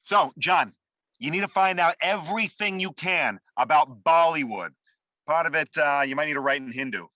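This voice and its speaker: A man's voice: a little high, expressive, normal speed, pushed and bright, dry recording. A man acting a frantic, self-absorbed and muddled boss who rambles and repeats himself in a comedy table read. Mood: frantic, bossy. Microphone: video call. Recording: dry close video-call audio.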